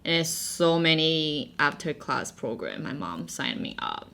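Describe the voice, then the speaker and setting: A woman with a Taiwanese accent hosts a casual chat in a playful, inquisitive way. Her voice is low and bright, with animated swings in pitch, over background music. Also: measured pace, vocal fry. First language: Mandarin. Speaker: vlog host.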